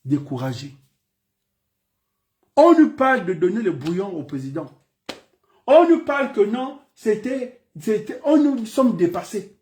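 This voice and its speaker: A man's voice: high voice, very animated, measured pace, long pauses, darkish tone. A man from Gabon with a Gabonese French accent, giving an impassioned political speech full of repeated phrases and rhetorical questions. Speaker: political commentator.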